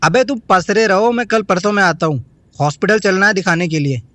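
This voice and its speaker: An actor playing a brisk, caring, bossy male friend in an audio drama. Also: sits high, a bit bright.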